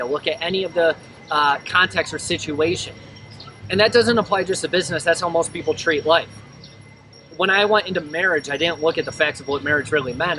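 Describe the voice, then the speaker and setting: An American man giving a blunt, self-mocking motivational monologue as a vlogger, recorded outdoors from a distance. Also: a little high. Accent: General American. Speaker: entrepreneur vlogger. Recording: distant mic with clear outdoor noise.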